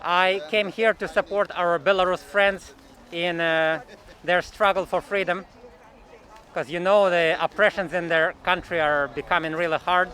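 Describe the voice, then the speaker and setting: Bright, high-voiced Eastern European man. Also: fairly even intonation, a little slow. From former Soviet Union. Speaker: rally participant giving an opinion. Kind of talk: street interview, unscripted. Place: outdoors at a city rally in Canada.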